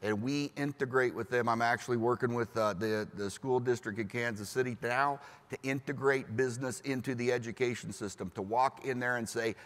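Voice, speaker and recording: A man's voice: mid-range voice, fairly quick, few pauses, a bit bright, in a large hall. A man talking on a business panel, chatty, laughing easily and acting out quotes. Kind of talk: panel discussion.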